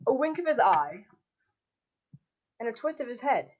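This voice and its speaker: A high-voiced young storyteller played by a young woman recounts a Christmas Eve visit as an earnest parent in a radio play. The delivery is dramatic and full of wonder, captured in slightly muffled video call sound. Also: sing-song, moderate pace. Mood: storytelling. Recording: dull video call audio.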